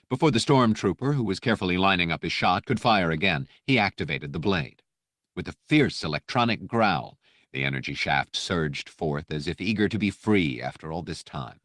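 A man reading a scripted audiobook story with measured, precise, dramatic narration. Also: low voice, fairly bright tone, dry recording, clean studio sound.

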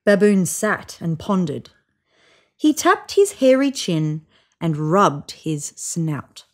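A woman in her thirties reading a children's picture book aloud on a sofa, with voiced sound effects and hushed whispers. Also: lowish voice, very animated, slow. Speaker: storybook reader. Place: indoors on a sofa.

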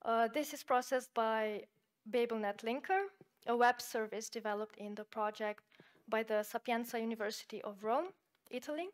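A woman whose first language is Slovenian, giving an academic conference talk and walking through a software demo in a measured, explanatory way with frequent fillers. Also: fairly high pitch. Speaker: conference presenter.

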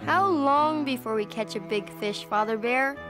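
Woman playing a high-voiced, childlike little bear cub. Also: moderate pace, lightly acted. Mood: excited. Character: cheerful young bear cub, eager and playful. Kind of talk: children's cartoon voice acting. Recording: music behind the voice.

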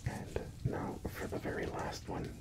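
Whispering young man, ASMR doctor roleplay. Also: measured pace. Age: young adult. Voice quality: soft, breathy whisper. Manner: gentle, reassuring, instructing. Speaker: ASMR performer playing a doctor. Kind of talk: ASMR medical exam roleplay. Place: small room indoors, set up as an exam office. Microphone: close ASMR microphone.

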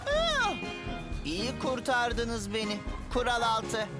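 A woman's voice: fairly high pitch, wildly swooping pitch, takes it slowly, loud music behind the voice, full character voice. A woman voicing a fussy, rule-quoting young vehicle in a children's cartoon dub, pedantic and precise. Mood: relieved.

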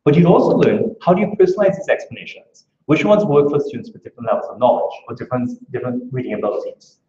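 A man's voice: medium pitch, very animated, fast talker, mellow. A man from Trinidad and Tobago with a Trinidadian accent, giving an earnest conference talk full of rhetorical questions. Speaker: academic speaker. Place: lecture room. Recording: echoey, dull room recording.

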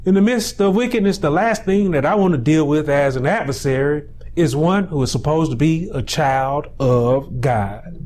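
A pastor in his forties preaching a sermon in a small indoor room on a lapel mic, building to shouted peaks between slow, drawn-out phrases. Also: fairly high pitch.

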